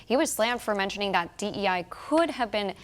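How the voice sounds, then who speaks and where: A woman's voice: mid-range voice, animated, fairly quick. American woman interviewing, mid-range voice. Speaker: news interviewer. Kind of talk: broadcast news interview.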